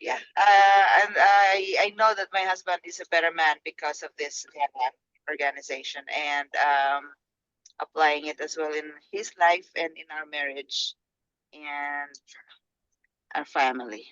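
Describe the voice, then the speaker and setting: Woman, soft and hesitant on a phone call, muffled lo-fi line, dry sound. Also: sits low, steady tone, measured pace, clean tone, no echo. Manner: soft, hesitant, with many fillers. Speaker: guest's wife. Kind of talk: casual chat. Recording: dull lo-fi call audio.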